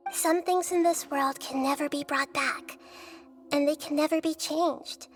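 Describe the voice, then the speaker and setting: A woman voicing a small, wise, gentle childlike goddess in a video game, speaking in a measured, earnest, articulate way. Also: sits high, lightly acted. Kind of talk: scripted video game dialogue. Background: music.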